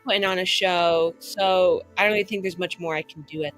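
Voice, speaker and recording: A woman's voice: on the low side, fairly even intonation, fairly quick, fairly bright tone, soft background music. A woman playing a tabletop roleplaying game, improvising her moves in a casual, rambling way full of "like". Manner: rambling, peppered with "like".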